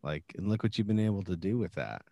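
A man's voice: deep voice, animated, fast talker, vocal fry, dark tone, no room sound. A man co-hosting a podcast interview, encouraging and jokey with the guest. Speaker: podcast co-host. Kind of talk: casual interview chat.

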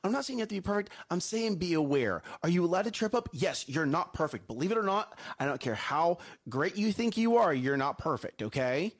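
A man co-hosting a morning show, a coach with a loud voice, giving emphatic advice and often checking in with "okay?" and "right?". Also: high-pitched, sing-song, fairly quick, few pauses. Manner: emphatic, repeats himself, asks "okay?" and "right?". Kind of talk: casual chat, preachy life advice.

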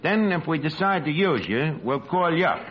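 An actor playing a brash, wisecracking New York tavern manager with ungrammatical, mock-refined speech, in 1940s radio comedy style. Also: male voice, fairly high pitch, animated, normal speed, lightly acted. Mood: dismissive. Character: brash, malapropping tavern manager with pretensions to class. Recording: noisy, muffled old radio broadcast recording.

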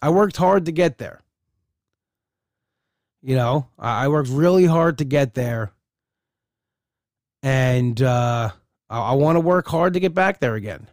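A man's voice: mid-range voice, expressive, unhurried, pauses often, darkish tone, dry recording, clean studio sound. A middle-aged man talking casually and candidly in a rambling personal monologue, often restarting his sentences.

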